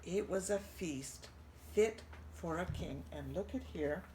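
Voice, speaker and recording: A middle-aged woman reading a picture book aloud to children, expressive and pointing out the pictures. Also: lowish voice, takes it slowly, a little hiss or hum, poor audio. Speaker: children's storytime reader.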